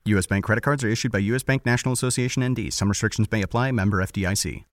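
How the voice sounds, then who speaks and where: A man reading a scripted bank advertisement in an upbeat way, ending with a quick, low disclaimer. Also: dry recording, studio quality. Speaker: ad announcer. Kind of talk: scripted radio-style ad read.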